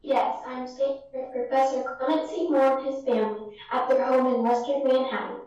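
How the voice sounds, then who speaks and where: High-voiced, very echoey female field reporter, acted. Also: steady tone, normal speed, darkish tone, lightly acted. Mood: polite, formal. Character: polite, inquisitive female news field reporter. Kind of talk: radio play news interview. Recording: very echoey room, distant muffled mic.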